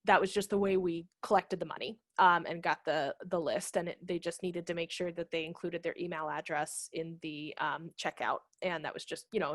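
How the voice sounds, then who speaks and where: Lower-voiced woman, close and dry. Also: no echo. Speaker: theater director, guest presenter. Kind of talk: casual webinar question-and-answer talk. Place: small home room, video call. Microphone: headset microphone.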